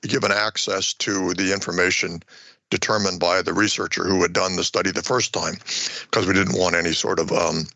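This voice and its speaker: An older American man giving explanatory interview answers as an academic guest, halting and often repeating words as he goes. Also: deep voice, flat delivery, creaky, sharp tone, dry recording. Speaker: retired war college professor, research guest.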